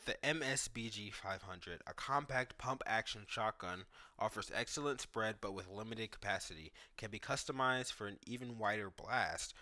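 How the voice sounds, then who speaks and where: Man, gaming YouTuber, slightly low pitch, bright forceful tone, informal reaction commentary, thinking aloud. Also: normal speed. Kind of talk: casual reaction commentary on game footage.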